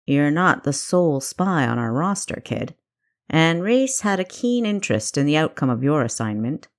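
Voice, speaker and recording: A woman voicing a seasoned male spymaster, a dry, measured, teasing mentor in an audiobook. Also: sits low, big pitch swings. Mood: dry, matter-of-fact. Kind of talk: audiobook character dialogue.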